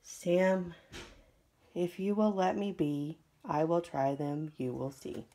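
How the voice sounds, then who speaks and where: A woman's voice: fairly low pitch, fairly even intonation, takes it slowly, dark tone. A woman teacher reading a children's story aloud expressively, with rhythmic phrasing and acted dialogue. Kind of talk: expressive picture-book read-aloud.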